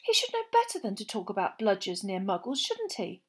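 A woman's voice: mid-range voice, huge pitch swings, a little fast, slightly rough, full character voice. A woman voicing a young witch girl asking questions in a surprised, curious way.

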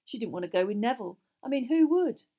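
A woman's voice: a little high, expressive, fast, warm tone, voice pushed up. A woman voicing a blunt, impatient, sulky teenage schoolboy in a children's fantasy audiobook. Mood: gleefully mocking.

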